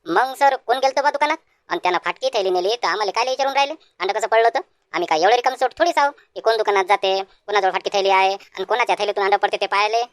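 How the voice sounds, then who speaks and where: A woman's voice: mid-range voice, fast, dry recording, lightly acted. A woman voicing a cheeky, argumentative village schoolboy in a comic cartoon, with a Vidarbha Marathi accent. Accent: Marathi, Vidarbha region. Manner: brazen back-talk.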